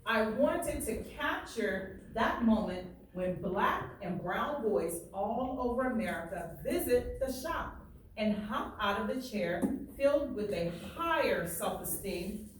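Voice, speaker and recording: A woman reads aloud like a teacher, explaining and checking for understanding as she goes. Her delivery is unhurried. The recording is heavily reverberant and off the microphone, with faint noise. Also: mid-range voice, animated. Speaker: teacher reading a book aloud. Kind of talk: read-aloud with plain explanations and check-in questions. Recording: very echoey room, distant, faint noise.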